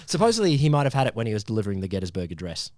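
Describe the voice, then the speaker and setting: A man with a lowish voice walks through a science topic in relaxed podcast chat. He hesitates often, restarting phrases and filling gaps with ums. The sound is close and completely dry, with no room echo. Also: racing. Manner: hesitant, lots of ums and restarts, laughs easily.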